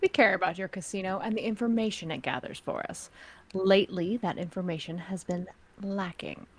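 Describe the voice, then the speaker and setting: A woman playing a cool, commanding crime-syndicate agent in a script table read, speaking in a measured, formal way. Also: medium pitch, slight background noise, lightly acted. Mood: coldly stern.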